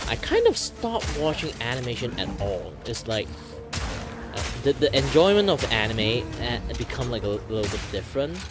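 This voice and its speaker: A young man from Malaysia with a Malaysian accent, chatting casually as a streamer, rambling with lots of fillers and loose English grammar. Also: mid-range voice. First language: Hakka. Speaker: VTuber streamer. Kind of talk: casual chat answering viewer questions. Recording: music playing loudly behind.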